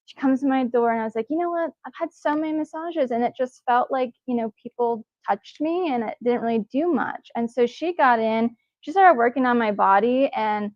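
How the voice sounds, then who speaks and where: A woman's voice: on the high side, normal speed, a little mellow, no echo, dull audio. A woman hosting a live wellness event, introducing guests with enthusiasm and plenty of fillers. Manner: enthusiastic, cheering, frequent fillers. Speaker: event host. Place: indoor event space.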